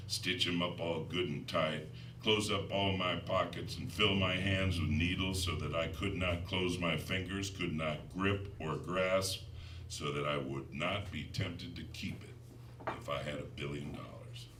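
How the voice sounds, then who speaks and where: Very deep-voiced American man reading poetry. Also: pushed and bright. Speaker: poet reading own work. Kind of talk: live poetry reading with spoken introductions. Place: live reading in a room before an audience. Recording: roomy, distant mic, faint noise.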